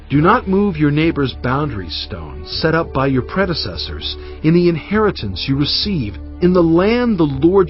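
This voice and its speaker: A man reading scripture as a dramatized narration, solemn, measured and emphatic in delivery. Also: on the high side, highs cut off. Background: cinematic music.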